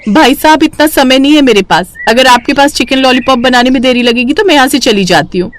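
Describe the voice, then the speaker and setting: A woman voicing an impatient, brisk female customer at a food stall in a story. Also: high-pitched, a little hiss or hum, pitched above the performer's own voice, close to an everyday voice.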